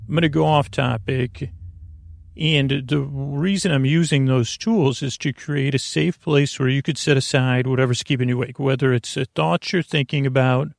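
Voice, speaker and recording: Guy doing a sleepy bedtime podcast, creaky croaky voice, lulling, rambles and loses his place, really dry recording. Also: mid-range voice, steady tone, dry recording. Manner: meandering, halting, keeps losing his thread; lulling, soothing delivery. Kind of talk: rambling, off-topic bedtime monologue.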